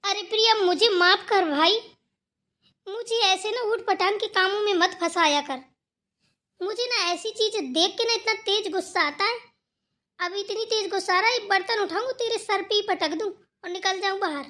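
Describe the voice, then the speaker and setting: A woman's voice: extremely high pitch, normal speed, bright, forceful tone. A woman voicing a haughty, quarrelsome elder sister-in-law in a Hindi family cartoon, scolding, sarcastic and dismissive. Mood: irritated.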